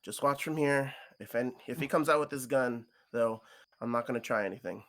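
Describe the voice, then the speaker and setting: A man voicing a cautious, protective young male friend in a visual novel, hesitant and low-key. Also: fairly quick, a bit bright, close to an everyday voice. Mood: nervous, protective. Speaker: co-reader voicing a character on stream.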